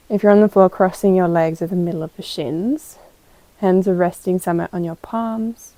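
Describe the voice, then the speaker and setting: Mellow, creaky-voiced woman guiding meditation. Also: mid-range voice, dry recording, low-quality recording. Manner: calm, gentle, unhurried instruction with pauses. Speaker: yoga teacher guiding meditation. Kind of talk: guided meditation.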